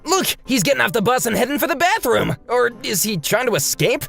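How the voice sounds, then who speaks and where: A woman's voice: a little high, very animated, a little fast, gravelly, a bit bright, faint music behind, heavily acted. A woman playing an eager, indignant teenage student in a manga dub, earnest and exclamatory.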